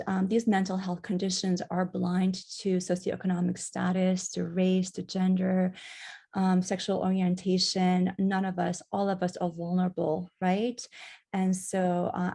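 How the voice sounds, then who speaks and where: A woman from Iran giving an online talk as a guest speaker, speaking softly and hesitantly with many fillers. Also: fairly low pitch, gravelly. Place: at home on Kauai, video call.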